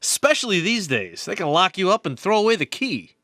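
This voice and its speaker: A man's voice: sits high, big pitch swings, fairly quick, bright, forceful tone, no echo, clean studio sound, heavily acted. A man playing an insistent, knowing research biologist in an audio drama, explaining things emphatically. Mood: knowing, cynical.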